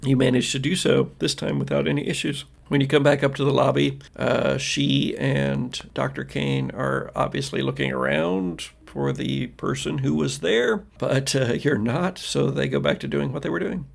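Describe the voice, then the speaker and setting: A man narrating a tabletop role-playing game as game master, in a halting, conversational, wry way. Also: medium pitch, fairly even intonation, creaky.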